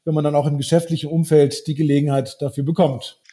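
A man's voice: on the high side, little pitch movement, quick pace, warm tone. A man in his forties who grew up in Brazil, a wealth manager talking through his views in a podcast conversation with frequent hesitations and restarts.

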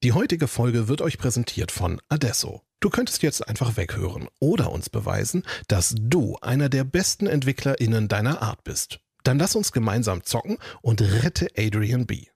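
A man's voice: mid-range voice, very animated, moderate pace, vocal fry, hardly any pauses, a little mellow, slightly rough, no echo, studio quality. A man reading a sponsor advertisement on a podcast. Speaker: ad announcer.

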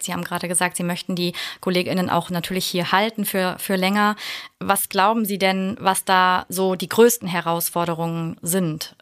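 A woman's voice: on the low side, a bit bright. A woman hosting an interview, asking questions in a friendly, affirming way. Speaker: interview host. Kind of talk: conversational interview.

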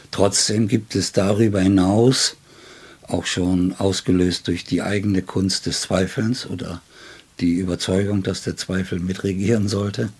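An older man speaks with a very low, rough-edged voice. He is a guest in a literary interview, reflecting slowly and searchingly, pausing to find his words and adding little tag questions. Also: darkish tone. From northern Germany. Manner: thoughtful, halting, tag questions.

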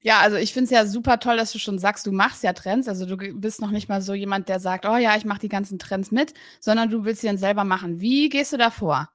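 A woman's voice: mid-range voice, a little fast, hardly any pauses, dry recording, studio quality. A woman hosting a podcast interview remotely, upbeat and chatty with many fillers. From Berlin, Germany. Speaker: podcast host. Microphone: remote recording, separate room.

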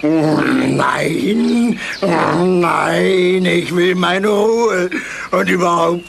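A man voicing a cowardly, whining pet tiger cat in an eighties radio play, with a strained, creaky voice. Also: high voice, drawn-out words, slight background noise, full character voice. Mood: frightened whining. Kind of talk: 1980s German radio play acting.

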